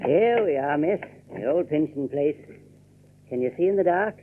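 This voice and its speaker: An actor playing a folksy, familiar coach driver in a radio drama. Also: male voice, fairly high pitch, lively intonation, moderate pace, natural voice. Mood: jocular. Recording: muffled lo-fi radio sound, faint hum.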